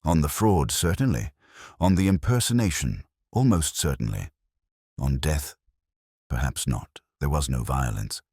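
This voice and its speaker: A man playing a cool, precise Victorian gentleman detective in an audiobook, probing and solemn. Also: very deep voice, animated, measured pace, breathy edge, no echo, clean studio sound, heavily acted. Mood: coolly judging.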